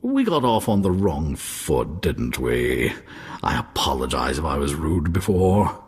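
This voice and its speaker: An actor playing a pompous, apologetic nobleman in a video game, speaking formally and haltingly. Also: very deep voice, very animated, breathy edge, heavily acted. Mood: awkward, apologetic. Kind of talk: video game cutscene acting.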